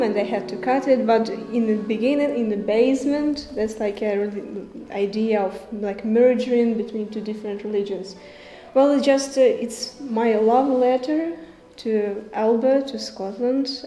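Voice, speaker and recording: A young woman, a film director answering questions in a halting way, searching for words with many fillers. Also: medium pitch. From Ukraine. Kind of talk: festival Q&A answers. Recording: roomy with faint music behind.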